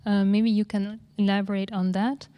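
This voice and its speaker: A woman moderating an art panel, gently posing questions to the guests. Her voice is mellow and smooth, recorded close and dry, at a middle pitch. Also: level tone, normal speed, dry recording.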